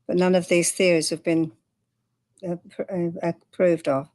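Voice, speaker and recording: Older woman, low-ish voice, calm matter-of-fact guest in a chat. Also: measured pace. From England. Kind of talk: candid personal interview.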